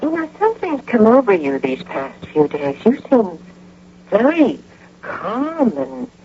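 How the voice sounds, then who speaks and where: A woman acting in a radio drama as an affectionate, contented, trusting career wife with a husky voice. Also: on the high side, sing-song, a little slow, a little hiss or hum. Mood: happy, admiring. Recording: muffled old radio broadcast.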